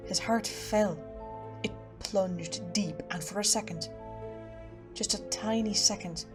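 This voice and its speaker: A woman playing an ominous, suspenseful narrator of a haunted-car horror story, reading in a deliberate, dramatic style. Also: medium pitch, voice pushed down, lightly acted. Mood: hushed dread. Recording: music behind the voice.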